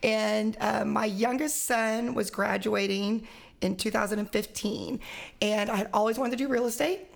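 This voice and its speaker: A woman, a realtor chatting as a podcast guest in a quick, laughing way. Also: mid-range voice, steady tone. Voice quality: raspy voice. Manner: quick, laughing, self-deprecating talk. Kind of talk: casual interview chat.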